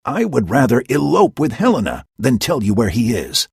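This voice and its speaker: A man voicing a sulky, lovesick young man with a breathy, whiny way of pleading. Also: mid-range voice, wildly swooping pitch, normal speed, no room sound, studio quality, voice pushed up, heavily acted.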